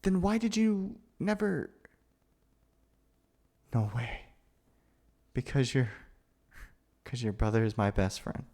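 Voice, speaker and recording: A man acting a nervous, awkward, lovestruck young man in a gentle roleplay, with hesitant, stammering delivery. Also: medium pitch, sing-song, takes it slowly, long pauses. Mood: flustered, amused. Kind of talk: ASMR boyfriend roleplay acting.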